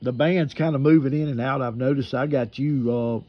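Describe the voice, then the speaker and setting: Male ham radio operator, medium pitch, folksy rambling chat, dull lo-fi radio sound. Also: fairly even intonation, normal speed. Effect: radio transmission. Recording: dull, limited-band amateur radio audio.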